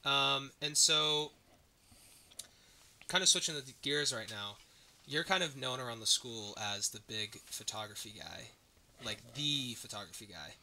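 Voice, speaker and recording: Young man with a very bright voice. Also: mid-range voice, a little slow, a little hiss or hum. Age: teens or young adult, a student. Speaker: podcast host, interviewer. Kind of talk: casual podcast interview.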